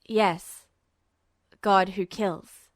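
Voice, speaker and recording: Lady doing a tired guarded teen boy, foreigner, pitched down, short halting phrases, story dialogue. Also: steady tone, dry recording, studio quality. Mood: halting, somber. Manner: halting, terse phrases in an unfamiliar language. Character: weary teenage boy, foreigner speaking halting English, guarded. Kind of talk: acted dialogue in fan fiction reading.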